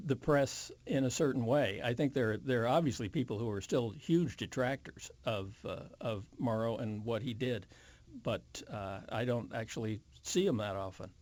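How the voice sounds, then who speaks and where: A man's voice: mid-range voice, measured pace, a little mellow. An American man in his seventies answering as an interview guest, reflective and hesitant as he chooses his words. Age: 70s.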